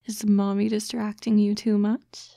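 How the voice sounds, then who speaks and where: A woman voicing a doting, teasing fox-girl girlfriend in a soft, breathy, coaxing ASMR roleplay, close to the microphone. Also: medium pitch, flat delivery, no echo. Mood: tender, concerned. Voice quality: soft, breathy, often whispering. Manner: slow, coaxing, cooing. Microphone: close, dry microphone.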